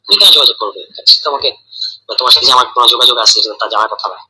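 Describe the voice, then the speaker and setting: A man with a Bangladeshi accent in a private, casual phone call, speaking in a hesitant, halting and defensive way on a tinny recording. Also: medium pitch, bright, forceful tone, a little hiss or hum. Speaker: caller in a private phone conversation.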